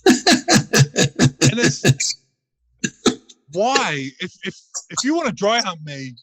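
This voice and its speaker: A man's voice: sits high, sing-song, very slow, fairly bright tone, slightly rough, dry recording, low-quality recording. Crude, joking podcast host man. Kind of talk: casual podcast chat.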